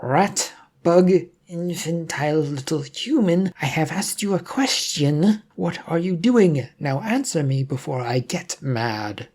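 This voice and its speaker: A narrator doing an imperious, menacing superhero, slow and threatening. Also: mid-range voice, lively intonation, a little mellow, husky. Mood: angry, commanding. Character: arrogant, menacing male superhero villain, floating and enraged. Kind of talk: audiobook character voice.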